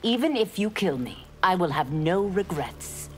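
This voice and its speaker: A solemn, resolute fallen warrior with a fairly low voice. Her pitch moves expressively. Faint game music sits underneath. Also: sing-song, a little slow, darkish tone. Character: fallen female warrior seeking redemption. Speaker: fighting game character. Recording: game audio with music behind.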